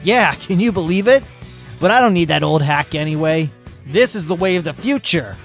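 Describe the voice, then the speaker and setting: Man acting a cocky sloth fitness coach, high-pitched, peppy, boastful, swooping delivery, music underneath. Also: animated, normal speed. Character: hyperactive, cocky male sloth fitness instructor on caffeine. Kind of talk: fan fiction audio reading.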